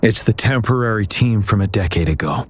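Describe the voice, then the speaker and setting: Game general, young but solemn. Low, rough-edged voice, measured and serious delivery. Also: male voice. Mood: grave, composed. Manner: measured, grave, formal. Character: calm, solemn young male military general. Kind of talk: scripted video game dialogue.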